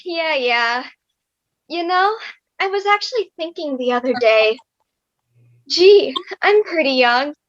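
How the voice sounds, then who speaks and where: A woman's voice: sits high, lively intonation, slow, pauses often, highs cut off. A young woman playing a chatty, independent-minded thirteen-year-old girl in a comic stage reading. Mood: wistful, frank.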